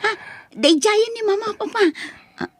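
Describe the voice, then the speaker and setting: A woman's voice: very high voice, expressive, moderate pace, no echo. A young woman voicing a spoiled college-student daughter who talks in a whiny, dismissive way. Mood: cheerful. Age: young adult. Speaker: voice actor. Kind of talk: audio drama acting.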